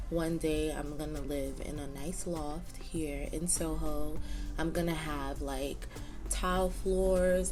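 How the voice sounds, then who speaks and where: A young woman from Queens talks in a relaxed, chatty way, with a fairly low voice. She scatters fillers through her sentences and laughs easily. Faint music sits behind her. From Queens, New York City. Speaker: vlogger. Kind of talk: casual get-to-know-me chat.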